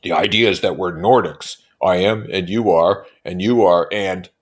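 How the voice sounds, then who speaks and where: A man's voice: low-pitched, normal speed, close to an everyday voice. A man voicing a pompous, irritable, bullying rich husband from a novel in an emphatic, blustering manner. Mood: insistent, pompous.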